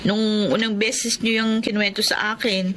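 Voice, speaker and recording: A woman's voice: mid-range voice, little pitch movement, moderate pace, a bit bright, slight background noise, close to an everyday voice. A woman acting a hardworking mother and street vendor, teasing and caring, in a Filipino radio drama. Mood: polite, friendly. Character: hardworking mother, pedicurist and barbecue vendor, warm but teasing. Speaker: narrator and lead character.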